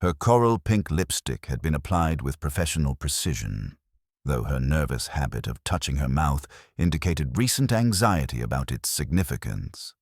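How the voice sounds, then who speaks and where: A man narrating a scripted mystery story in a measured, formal storytelling manner. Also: very deep voice, animated, darkish tone, no echo, clean studio sound.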